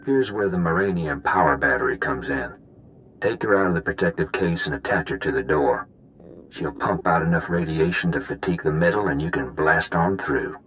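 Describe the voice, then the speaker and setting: An extremely low-voiced criminal technician in a video game. He is calm and practical, speaking in clipped, laid-back phrases over faint noise. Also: normal speed, poor audio. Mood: matter-of-fact. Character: calm practical male prison-break technician, matter-of-fact.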